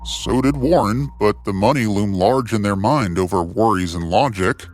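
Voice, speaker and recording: A man narrates an adventure tale with dramatic, scripted phrasing. His voice is fairly low, and faint music plays beneath it. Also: normal speed. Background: soft music.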